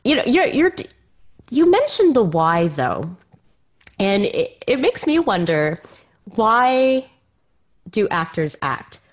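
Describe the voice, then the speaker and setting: A woman's voice: medium pitch, very animated, takes it slowly, long pauses. A woman hosting a conversational interview, speaking in an enthusiastic, engaged way. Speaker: podcast interviewer.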